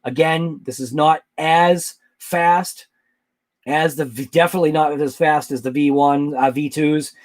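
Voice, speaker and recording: Man, RC channel host, casual livestream chat, rambling, thinks out loud, a bit high-pitched. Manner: rambling, thinks aloud, answers live chat.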